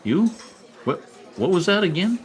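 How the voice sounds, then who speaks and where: A man's voice: high-pitched, sing-song, unhurried. A man acting a wry, scheming newspaper reporter in an old-time radio comedy, with a theatrical delivery. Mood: bantering, indignant.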